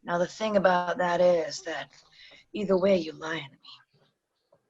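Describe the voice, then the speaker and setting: A woman reading a calm, menacing female crime boss at a pilot table read, who speaks quietly and slips into threatening whispers. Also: fairly low pitch, a little slow, breathy edge, muffled recording.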